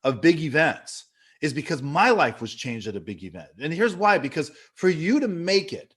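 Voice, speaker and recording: A man's voice: a little high, very animated, normal speed, fairly bright tone, husky. A man in his fifties from California giving an earnest motivational talk, telling stories with many fillers and restarts. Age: 50s. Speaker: business leader speaking at an online event.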